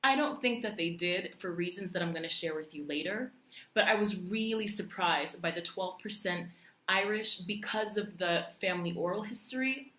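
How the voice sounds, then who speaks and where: A woman from Puerto Rico vlogging in a chatty, animated way, with lots of fillers and restarts. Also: lowish voice, normal speed, slight background noise. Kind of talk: casual talk to camera. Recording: echoey room.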